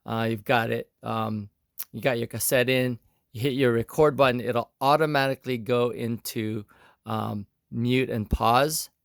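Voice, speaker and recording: Guy doing a laid-back how-to video, gravelly fry in his voice, dry close sound, kinda halting. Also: mid-range voice, fairly even intonation, no echo. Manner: halting, thinking aloud as he goes. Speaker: video tutorial host. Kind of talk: casual how-to demonstration.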